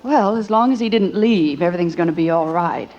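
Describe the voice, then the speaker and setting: A woman playing a gentle, tactful middle-aged mother in a vintage radio sitcom, speaking in a placating way. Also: on the low side, a little fast, lightly acted. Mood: reassuring. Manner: hesitant, placating. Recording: muffled old radio recording.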